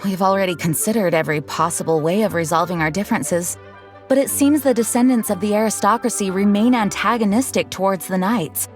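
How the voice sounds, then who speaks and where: A woman playing a composed, dutiful knight commander in a video game, speaking in a formal, measured and earnest way. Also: medium pitch, soft background music, lightly acted. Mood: earnest, concerned. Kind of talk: scripted video game dialogue.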